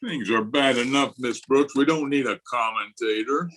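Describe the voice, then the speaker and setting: A man playing a sharp, blustery principal. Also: medium pitch, moderate pace, natural voice. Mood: irritated. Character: blustery, exasperated older male high school principal. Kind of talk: old-time radio comedy acting. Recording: remote video-call recording.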